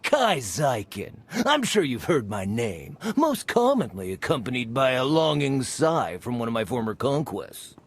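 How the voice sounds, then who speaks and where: An actor playing a vain, bumbling scoundrel gang leader in a video game, pompous and theatrical with dramatic pauses. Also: male voice, mid-range voice, sing-song, slightly rough, full character voice. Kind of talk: video game character acting.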